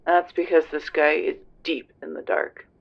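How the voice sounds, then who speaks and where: A man's voice: on the high side, normal speed, natural voice. A man playing a tough, serious police investigator on a phone call in a roleplay audio drama, terse and grave. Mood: serious, informative. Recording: dull lo-fi phone-call sound.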